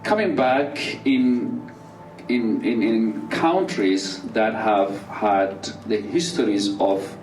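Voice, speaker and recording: Slow, halting man speaking in a roomy hall. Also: fairly low pitch, darkish tone, recorded from a distance. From Somalia. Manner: slow and halting, with many fillers and restarts. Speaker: speaker at a community talk. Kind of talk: public talk. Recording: roomy, off-mic, faint music behind.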